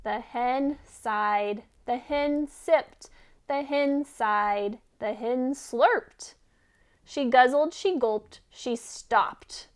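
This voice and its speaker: A woman's voice: sits high, extremely slow, clean tone. A woman reading a children's story aloud expressively, as a teacher who puts questions to listeners.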